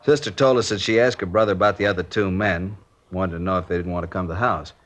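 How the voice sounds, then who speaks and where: An actor playing a methodical American detective sergeant in a 1950s radio crime drama, clipped and matter-of-fact. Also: male voice, low-pitched, fairly even intonation, quick pace, fairly bright tone. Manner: clipped, matter-of-fact, drops subjects from sentences. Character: plainspoken, methodical male detective sergeant from out of town. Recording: dull vintage radio recording.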